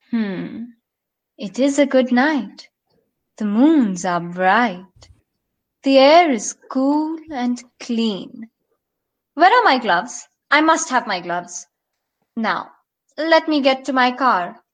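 A woman playing a brooding, purposeful female scientist in an audio drama, musing deliberately to herself. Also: on the high side.

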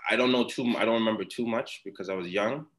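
A young man from Ghana talks easily as a podcast guest, his voice sitting very low. He rambles along loosely, circling back with fillers and restarts as he tells his story. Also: fast, fairly bright tone, slight background noise. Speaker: podcast guest, former soccer player. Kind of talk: casual interview chat.

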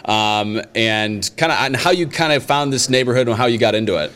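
A man's voice: medium pitch, brisk, a bit bright, clear, resonant tone. A male host interviewing a guest, casual and encouraging, with lots of short affirmations.

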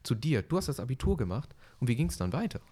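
A man hosting a casual conversation, talking calmly and giving short affirmations while he listens. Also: medium pitch, fast, husky. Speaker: host.

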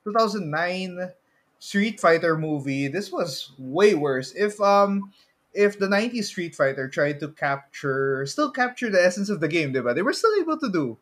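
A man with a Filipino accent co-hosts a casual podcast chat. His voice sits a little high, and he talks in a halting way, often groping for the next phrase. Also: lively intonation, fairly bright tone. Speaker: podcast co-host.

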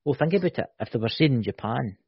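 A man co-hosting a podcast, husky in tone, tossing out one wild theory after another and checking in with "right?" His mid-range voice sounds dull and lo-fi, captured dry with no room around it. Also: mid-range voice, fast, no echo. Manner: animated, speculative, keeps asking "right?". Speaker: podcast co-host. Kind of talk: casual chat.

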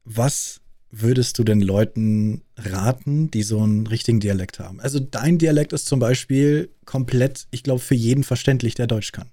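A man interviewing a fellow streamer in a relaxed, curious, chatty way. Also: mid-range voice, normal speed, no echo, studio quality. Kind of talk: casual conversation.

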